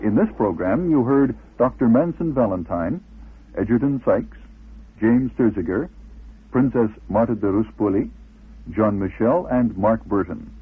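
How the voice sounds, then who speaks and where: A man's voice: lowish voice, expressive, measured pace, husky. A man reading scripted narration as the announcer of a radio series. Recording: lo-fi, phone-like radio recording with faint noise.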